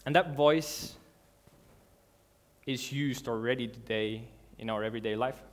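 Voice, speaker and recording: Man giving an earnest talk on stage. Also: mid-range voice. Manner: earnest, halting, with restarts and non-native grammar. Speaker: conference speaker. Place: large dark hall with a seated audience.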